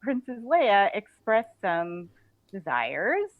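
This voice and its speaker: A woman's voice: mid-range voice, big pitch swings, slow, dry recording. A woman chatting casually as an interview guest, phrasing her thoughts hesitantly with small chuckles. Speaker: podcast guest, author. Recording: thin phone-like call audio.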